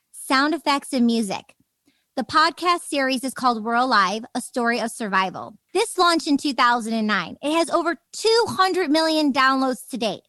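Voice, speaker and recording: Upbeat podcast host lady, high voice, super casual and keen, dry close sound. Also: fairly bright tone, dry recording. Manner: informal, enthusiastic. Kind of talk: upbeat chatty interview.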